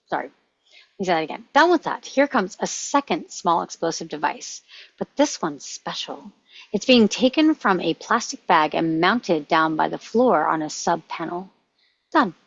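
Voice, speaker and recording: A woman's voice: fairly low pitch, lively intonation, normal speed, creaky, a bit bright, husky, no echo, highs cut off. A woman reading stage directions as scripted narration at a screenplay table read.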